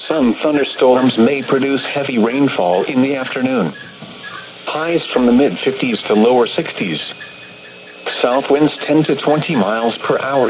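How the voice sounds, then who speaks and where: A synthetic male announcer reads a scripted weather bulletin in a formal, automated way. His voice sits at an average pitch. It comes over a radio broadcast with clear noise around it.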